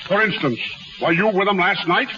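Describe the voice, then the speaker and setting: An actor playing a pompous, indignant middle-aged carnival boss with florid, orotund speech, in 1930s radio style. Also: male voice, high voice, lively intonation, normal speed, pushed and bright. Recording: dull, hissy 1930s radio recording.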